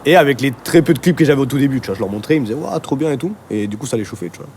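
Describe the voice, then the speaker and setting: A man's voice: medium pitch, expressive, racing, a little mellow, slight background noise. A man from Argentina, telling his story in a casual, slangy interview style. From Argentina, moved to France at thirteen. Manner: slangy, informal, punctuated with conversational tags. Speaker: interviewee, music video director.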